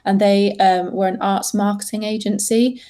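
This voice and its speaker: An English woman chats as a podcast guest about her work. Her voice is mellow and relaxed, and she talks in loose, hesitant runs full of fillers and restarts. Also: medium pitch, normal speed. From England. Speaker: guest, social media manager. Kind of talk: casual podcast interview.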